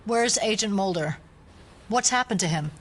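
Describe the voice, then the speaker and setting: A woman's voice: medium pitch, lively intonation, normal speed, lightly acted. Sceptical, determined female agent woman acting, mid-range voice, clipped formal delivery for audio drama. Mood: firm, challenging.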